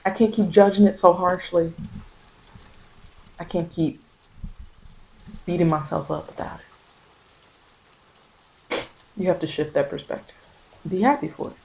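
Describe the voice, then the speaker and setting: A woman's voice: lowish voice, a little slow, vocal fry, long pauses, warm tone, a little hiss or hum, poor audio. Encouraging woman giving a tarot reading. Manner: encouraging, repeats phrases for emphasis. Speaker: tarot reader and ASMR host. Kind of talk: improvised spiritual tarot guidance addressed to listener.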